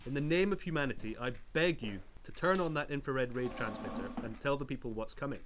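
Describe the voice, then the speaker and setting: A man's voice: mid-range voice, fairly quick, noisy background, lightly acted. An actor playing an anxious, pious male professor in a radio drama, speaking earnestly and formally as doom nears. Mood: anxious, pleading.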